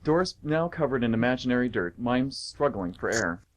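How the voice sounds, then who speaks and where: A man's voice: medium pitch, expressive, moderate pace. A man narrating scripted stage directions for a radio play.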